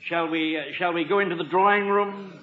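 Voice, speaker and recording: An actor playing a formal, dryly witty English butler in a 1950s radio comedy, speaking precisely and deferentially with a British accent. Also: male voice, high-pitched, fairly even intonation, brisk, close to an everyday voice. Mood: polite, composed. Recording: muffled echoey old radio broadcast.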